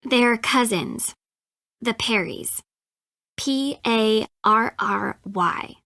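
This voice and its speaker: Snippy young American woman, higher-pitched. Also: lively intonation, extremely slow, creaky, sharp tone, dry recording, studio quality, heavily acted. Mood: worried, earnest. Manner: sarcastic, clipped, emphatic. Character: snippy, defensive young woman, grudgingly asking for help. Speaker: video game character, voice actress. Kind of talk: acted dialogue in an adventure game.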